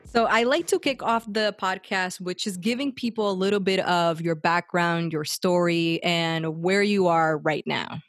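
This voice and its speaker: A woman's voice: on the low side, unhurried, few pauses, dry recording, studio quality. A woman from Puerto Rico hosting a podcast and interviewing a guest in an upbeat, friendly way. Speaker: podcast host. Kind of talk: casual interview.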